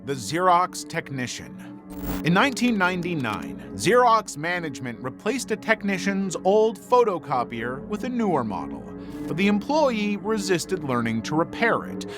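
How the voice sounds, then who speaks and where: Animated male true-crime narrator over music. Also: sits high, normal speed.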